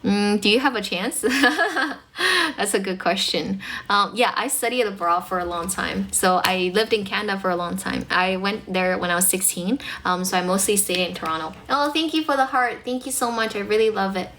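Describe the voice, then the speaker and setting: Bright-voiced young Chinese woman streaming. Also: medium pitch, slight background noise. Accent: Chinese, North American-tinged English. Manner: chatty, fast-talking, laughs often, mixes in Chinese. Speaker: English-speaking livestream host. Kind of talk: casual livestream chat with viewers.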